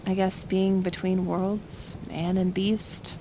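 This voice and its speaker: A woman playing a kind, stubborn forest healer in an ASMR roleplay, speaking gently and hesitantly, caring but persistent. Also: medium pitch, little pitch movement, slow, background noise, close to an everyday voice. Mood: reflective. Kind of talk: soft-spoken ASMR roleplay acting.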